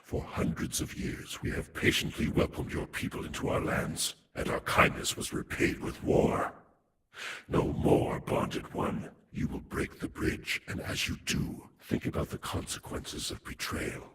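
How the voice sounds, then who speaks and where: A man's voice: a little slow, fairly bright tone, natural voice. A man voicing a cold, inhuman herald in a video game, issuing formal decrees to slaves in a stern, whispery, voiceless tone. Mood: stern, threatening. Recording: echoing, clearly noisy background.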